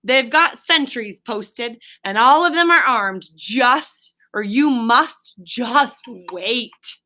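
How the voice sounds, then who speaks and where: Woman as storybook rat, cross, emphatic, scolding, good-hearted, bright sharp voice. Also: a little high, expressive, slow pace, pitched above the performer's own voice, full character voice. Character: cross, reproachful water rat, scolding but good-natured.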